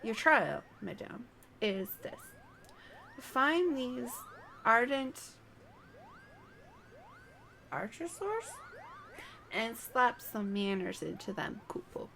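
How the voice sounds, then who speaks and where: Vain fluffy game moogle, voiced by a woman: haughty, theatrical, fairly high, unhurried. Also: very animated, creaky, a bit bright, faint music behind, heavily acted. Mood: weary, haughty. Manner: self-admiring, theatrical. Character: vain, adorable fluffy moogle creature, haughty about admirers.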